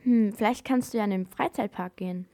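A school-age female reporter with a soft, dark tone at a middle pitch. She explains things in a scripted radio dialogue. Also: brisk.

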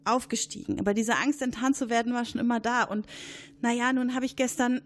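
A woman's voice: a little high, steady tone, fairly quick, close to an everyday voice. A woman playing a middle-aged colonel who is secretly a woman passing as a man, talking hesitantly and evasively in an improvised roleplay. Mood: confiding. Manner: hesitant, evasive, then confiding in hushed tones. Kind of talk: improvised murder-mystery roleplay.

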